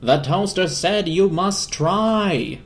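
A man's voice: sits high, animated, slow, voice pushed up. A man as an anxious talking radio. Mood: calling out.

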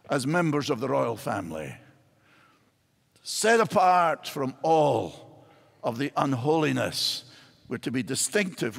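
A man's voice: fairly high pitch, creaky, fairly bright tone. An older man with a Scottish accent, preaching a sermon from a church stage with wide gestures. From Scotland. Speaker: pastor. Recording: hall reverb in a large church.

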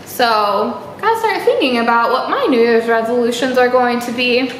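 A young woman with a very high, swooping voice leads a storytime for small children. She speaks in an exaggerated, encouraging manner, often putting questions to her young listeners. The room around her sounds echoey. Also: lively intonation, moderate pace. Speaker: library storytime host. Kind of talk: children's storytime read-aloud, chatting and singing to young viewers. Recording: echoey room with faint background noise.

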